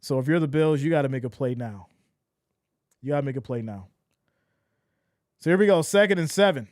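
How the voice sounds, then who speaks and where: Hoarse male fan commentator, high-ish voice, close dry mic, emotional live play calls. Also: brisk, dry recording. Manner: excitable, swings from shouting to deflated mutters. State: losing his voice. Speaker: streamer doing play-by-play.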